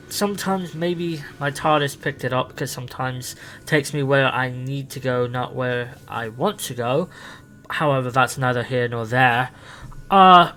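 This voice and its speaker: A man's voice: medium pitch, fairly bright tone, a little hiss or hum. A man improvising as an eccentric male time traveller, hesitant and full of ums and uhs. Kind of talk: improvised roleplay.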